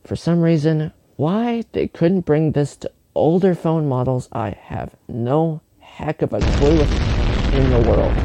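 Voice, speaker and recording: A man's voice: medium pitch, vocal fry, noisy background. A man giving a humorous scripted tech report, sarcastic and exasperated in manner. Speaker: tech news contributor. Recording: music and sound effects underneath.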